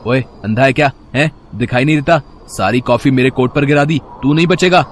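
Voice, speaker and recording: A man's voice: mid-range voice, fairly quick, lightly acted. A man voicing an arrogant rich young college student who sneers and bullies in a moral story. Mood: angry. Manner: sneering, haughty. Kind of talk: animated moral story dialogue. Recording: faint street noise behind.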